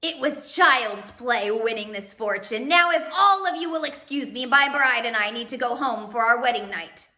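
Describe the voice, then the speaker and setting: Storybook villain count read by a woman, pompous, gloating, bright projected delivery, roomy sound. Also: fairly high pitch, expressive, moderate pace, few pauses. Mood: smug. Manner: theatrical, commanding, smug.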